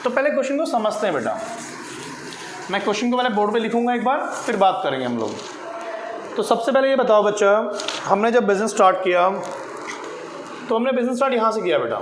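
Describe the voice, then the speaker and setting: A man's voice: sits high, very animated, brisk, long pauses. A male tutor teaching a classroom lesson at a board, asking questions, answering them himself and repeating key points. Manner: repeats key figures and phrases. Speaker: accountancy tutor. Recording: echoey room with clear noise.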